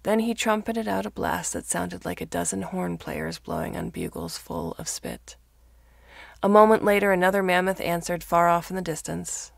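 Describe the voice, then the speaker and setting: Very creaky-voiced woman narrating a story. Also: on the low side, sing-song, moderate pace, no echo, studio quality. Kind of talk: scripted audiobook-style narration of fiction.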